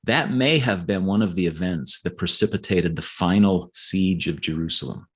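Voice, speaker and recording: A man's voice: deep voice, moderate pace, breathy edge. A man talking as an author in a conversational podcast interview, earnest and explanatory, often restarting his phrases.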